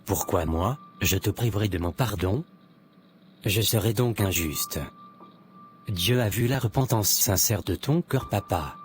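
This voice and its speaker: A man playing a devout, forgiving adult son in a cartoon, speaking earnestly and deliberately, a little preachy. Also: lowish voice, a little hiss or hum, lightly acted. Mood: earnest, preaching. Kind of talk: dubbed cartoon dialogue. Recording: music under the voice.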